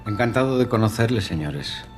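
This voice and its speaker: An actor with a Castilian Spanish accent playing a cocky, calm, menacing imprisoned killer in a radio drama, smug and falsely courteous. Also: male voice, lowish voice, normal speed, over music, close to an everyday voice. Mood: polite menace.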